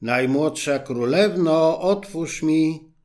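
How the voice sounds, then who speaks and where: A man's voice: on the high side, slow, darkish tone, voice pushed up. A man voicing a persistent female talking frog in a fairy tale, coaxing and pleading.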